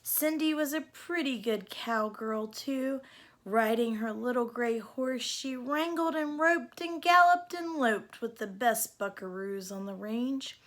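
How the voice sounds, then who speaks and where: A woman reading a children's storybook aloud in an expressive storytelling style. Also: a little high, takes it slowly. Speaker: storybook reader.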